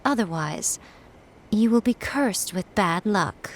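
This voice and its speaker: A woman's voice: medium pitch, expressive, slow, a little hiss or hum. A woman voicing a sly, elegant head priestess in a video game, teasing and poised in a playfully manipulative way. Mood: coy, commanding. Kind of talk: video game character acting.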